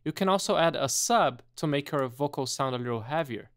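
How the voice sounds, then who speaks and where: A man presenting a step-by-step software tutorial in a methodical, explanatory way. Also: medium pitch, animated, fairly quick.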